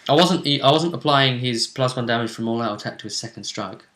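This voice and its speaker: A man's voice: mid-range voice, a little fast, a bit bright. Man, game master, casual tabletop recap, conversational, echoey indoor recording. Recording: echoey room sound.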